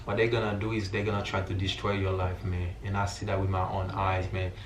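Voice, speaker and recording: A young man with a Haitian accent speaks in a fairly low voice, casual and earnest, like a vlogger addressing his viewers. His phrasing is informal and slangy. The sound is clearly roomy and echoey, picked up some distance from the mic, with faint noise behind it. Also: completely flat delivery, brisk. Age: young adult. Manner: informal, slangy, repeats filler phrases like "you feel me".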